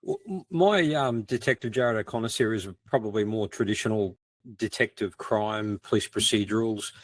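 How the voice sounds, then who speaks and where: A man's voice: on the low side, normal speed, no echo. A middle-aged man with an Australian accent, explaining things conversationally as a podcast guest. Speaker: podcast guest, ex-detective crime writer.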